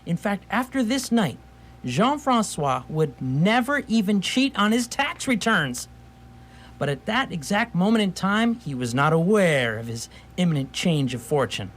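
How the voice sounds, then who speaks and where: A man playing a wry storyteller, narrating a scripted radio theatre story in a measured way. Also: high voice, sing-song, a bit bright, exaggerated voice. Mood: wry narration.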